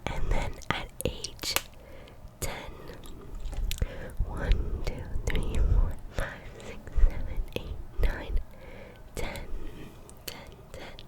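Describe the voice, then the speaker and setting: A young woman in her twenties talks in a breathy, unvoiced whisper, unhurried and deliberate. She lingers on words and repeats them softly again and again, in the manner of ASMR. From Colombia. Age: 20s. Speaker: ASMR creator. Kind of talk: whispered ASMR get-to-know-me chat.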